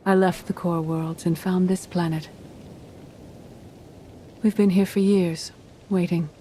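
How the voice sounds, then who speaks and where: Game role: weary female Jedi mentor, low mellow voice, grave measured delivery, cryptic air. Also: fairly even intonation, slight background noise. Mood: solemn. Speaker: video game character voice actor. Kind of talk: scripted dramatic game dialogue.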